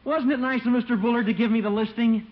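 A man's voice: extremely high pitch, steady tone, fast talker. An American actor playing an earnest, politely persistent young newlywed salesman in a 1950s radio comedy. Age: young adult. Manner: polite, eager, insistent. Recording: muffled old radio recording.

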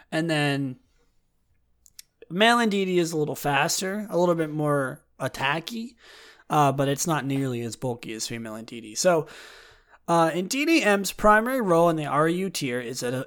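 A man with a raspy, worn-out throat hosting a casual solo episode. He rambles with frequent restarts and self-deprecating asides, and sometimes reads a written write-up aloud. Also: on the high side, a bit bright. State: hoarse, raspy throat from talking a lot. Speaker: podcast host.

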